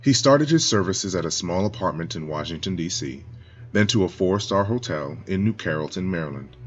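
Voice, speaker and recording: Very deep-voiced man narrating a church tribute. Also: expressive, a little fast, lo-fi sound. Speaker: church spokesman.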